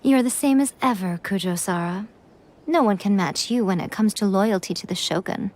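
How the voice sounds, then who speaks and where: A woman playing a sly, teasing, poised shrine priestess in an anime video game, speaking in a coy, deliberate way. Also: medium pitch, a little mellow, close to an everyday voice.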